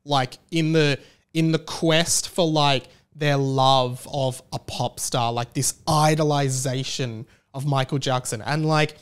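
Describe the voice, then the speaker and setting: Higher-voiced man, casual rant. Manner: rambling, blunt, swears casually. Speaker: podcast host. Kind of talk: casual comedic solo rant.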